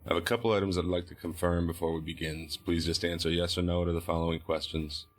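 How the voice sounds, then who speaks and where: A man's voice: sits low, fairly even intonation, fast, natural voice. An actor playing a methodical male police detective in an audio drama, speaking formally and procedurally. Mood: formal, controlled. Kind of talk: audio drama interrogation acting.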